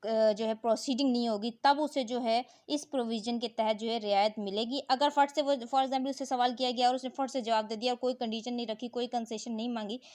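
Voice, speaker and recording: A woman's voice: fairly high pitch, a little mellow. A woman giving an explanatory lecture as a law teacher, reading formal text aloud and then explaining it didactically, rephrasing key points.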